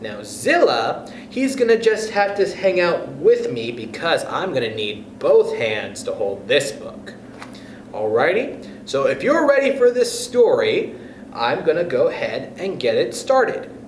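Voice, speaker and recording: A man's voice: on the high side, big pitch swings, a little slow. A man hosting storytime, reading a children's book expressively and speaking directly to young listeners. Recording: echoey room with background noise.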